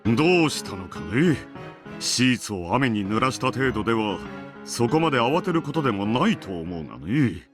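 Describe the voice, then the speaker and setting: An actor playing a dignified middle-aged master of a wealthy household in a visual novel, speaking formally and with authority. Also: male voice, mid-range voice, very animated, fast talker, close to an everyday voice. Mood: composed authority. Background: game music.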